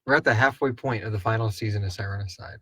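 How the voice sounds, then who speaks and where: A man's voice: on the low side, fast talker, fairly bright tone, slightly rough, no echo, clean studio sound. A man co-hosting a live podcast Q&A in an informal, rambling, jokey way, casually reading out and answering listener questions. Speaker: co-host and producer of an audio drama podcast.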